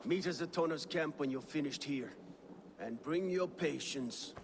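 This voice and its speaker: Game role: steady, wry Viking brother, creaky gravelly voice over clear ambient noise. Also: male voice, fairly high pitch, measured pace. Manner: dry, wry. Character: dry, level-headed Viking warrior brother. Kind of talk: video game cutscene acting.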